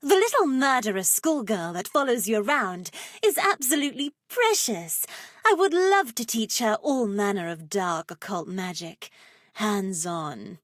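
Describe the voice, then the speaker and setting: A seductive dark sorceress for a video game, speaking at a leisurely, savoring pace. She is teasing and theatrical, with a wicked streak and a tendency toward breathy softness. The sound is close and dry. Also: mid-range voice, big pitch swings, a little slow, no echo. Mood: amused, mischievous. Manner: sultry, teasing, theatrical, slips into breathy whispers. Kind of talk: video game character voice lines.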